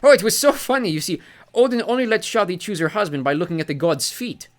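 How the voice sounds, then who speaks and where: A man's voice: fairly high pitch, big pitch swings, brisk, fairly bright tone, voice pushed up. A man voicing a vain, chirpy old sea god. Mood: delighted. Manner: chirpy, self-satisfied, breezy. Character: vain, cheerful ancient male sea god. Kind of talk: audiobook character voice.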